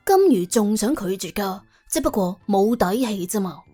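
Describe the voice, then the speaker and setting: A woman's voice: mid-range voice, animated, normal speed, mellow, breathy edge, soft background music. A woman with a Cantonese accent narrating an audiobook dramatically, in a wry, colloquial, exclamatory way. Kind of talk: dramatic scripted storytelling.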